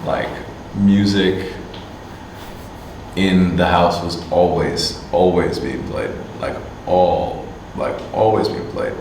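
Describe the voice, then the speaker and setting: A man from Canada answering interview questions casually, halting with many fillers and restarts. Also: deep voice, darkish tone. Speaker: musician and agency owner. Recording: distant mic, echoey room, faint hum.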